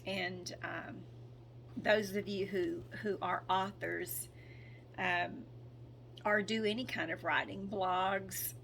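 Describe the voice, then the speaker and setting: A hoarse woman speaking in a slow, hesitant way, with frequent ums and throat clearing, as she gives a casual spoken update as a spiritual channel. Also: on the low side, fairly even intonation, fairly bright tone, slight background noise, rough recording.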